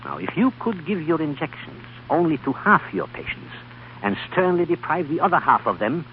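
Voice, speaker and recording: An actor playing a grave, exacting old scientist and mentor in an old-time radio drama, speaking with solemn deliberation. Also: male voice, fairly high pitch, very animated, moderate pace. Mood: probing. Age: older. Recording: muffled, noisy old radio broadcast.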